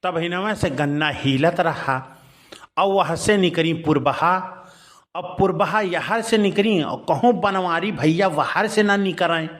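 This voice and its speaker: A man voicing a sly young village man in a cartoon comedy, with an Awadhi accent and a slow drawl. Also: high-pitched, a bit bright.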